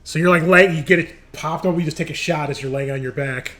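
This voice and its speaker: A man's voice: a little high, quick pace, fairly bright tone, faint music behind. A man acting as game master, narrating the action of a tabletop role-playing game in a casual, conversational, storytelling way.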